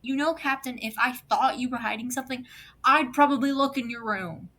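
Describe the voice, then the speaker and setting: An actress playing a blunt, sarcastic second-in-command in an audio drama, speaking emphatically. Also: female voice, high-pitched, a little fast, fairly bright tone. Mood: indignant, sarcastic.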